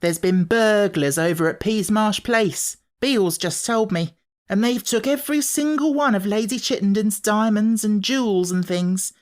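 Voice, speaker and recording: A gossipy working-class housemaid from a children's storybook, acted out by a woman. She is darkly self-important and dramatic, with her pitch lifted. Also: natural voice. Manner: dramatic, rustic turns of phrase.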